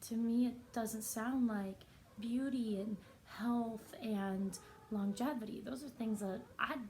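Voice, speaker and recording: A woman with a somewhat high but soft and raspy voice talks straight to camera in a personal vlog. She is earnest and reflective, pausing often. A faint hum of ambience sits behind her. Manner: earnest, reflective, unhurried with pauses. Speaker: vlogger.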